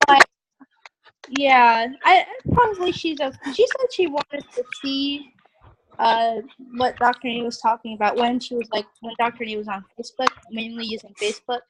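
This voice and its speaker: A young woman in her early twenties with a high voice chats casually and self-deprecatingly. She is on an online group call, with clear noise and clicks in the recording. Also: moderate pace. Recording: noisy video call, clicks.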